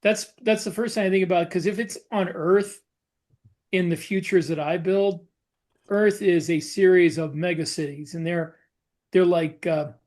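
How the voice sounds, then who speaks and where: A man co-hosting a casual podcast discussion as a writer, explaining things in a halting way. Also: sits high, darkish tone. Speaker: podcast co-host, science fiction writer.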